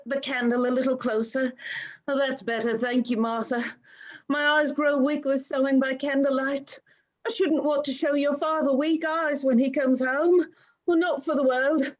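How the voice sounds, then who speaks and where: A poor, grieving mother played by a woman in a radio play, gentle and weary. Her high voice comes haltingly through dull, lo-fi video-call sound.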